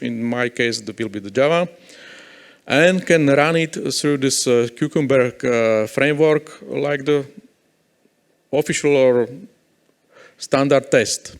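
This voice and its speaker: A man speaking at a mid pitch, presenting a live software demo at a conference. He talks in a halting, thinking-aloud way, full of fillers and restarts. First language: Slovak. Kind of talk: live technical demo talk. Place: conference hall.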